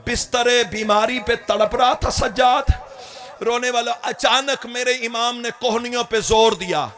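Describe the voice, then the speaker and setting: A man's voice: very high voice, steady tone, a bit bright. A man delivering an impassioned mourning sermon, crying out and wailing to the mourners. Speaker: religious orator.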